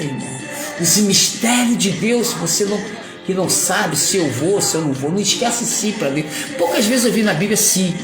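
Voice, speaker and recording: A male preacher with a Carioca accent delivers a rambling, emphatic sermon full of playful asides. His voice has a gravelly edge. It rings through an echoey room with music playing underneath. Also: high voice. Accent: Carioca Portuguese. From Rio de Janeiro.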